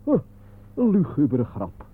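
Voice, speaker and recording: An actor playing a fussy, self-pitying gluttonous office worker, melodramatic and lamenting, in a radio play. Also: male voice, on the high side, huge pitch swings, measured pace, slightly rough, exaggerated voice. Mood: queasy, self-pitying. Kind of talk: absurdist radio play acting. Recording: narrow, phone-like old radio recording.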